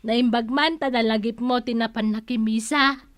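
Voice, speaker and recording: A woman playing a gossipy, motherly storekeeper in a comedy radio drama, chatty, with a drawn-out teasing delivery. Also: a little high, steady tone, lightly acted.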